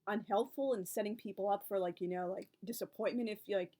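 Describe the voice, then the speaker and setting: A chatty woman in her forties, speaking at a middle pitch that is neither high nor low for a woman. She wanders from point to point in a loose vlog-style monologue and keeps adding asides. A wry, weary edge comes into her voice when she talks about online advice. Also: brisk, dark tone. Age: 40s. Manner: chatty and rambling, piling up asides. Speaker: book and author video creator. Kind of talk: casual reflective vlog talk.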